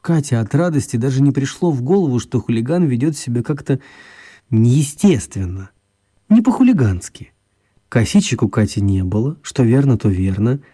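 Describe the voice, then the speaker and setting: A man narrating a children's radio play as an expressive, wry storyteller. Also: medium pitch, normal speed, breathy edge. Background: music.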